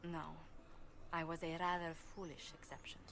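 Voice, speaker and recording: A woman's voice: low-pitched, little pitch movement, normal speed, gravelly, a bit bright, a little hiss or hum. A woman playing a polite, regretful noblewoman diplomat and former bard in a video game, speaking in formal, eloquent phrases. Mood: wry, polite. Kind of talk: video game dialogue acting.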